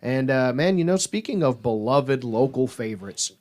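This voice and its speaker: A man's voice: mid-range voice, expressive, moderate pace, darkish tone. A man hosting a sports podcast, chatting casually with a playful, hyped delivery. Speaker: podcast host.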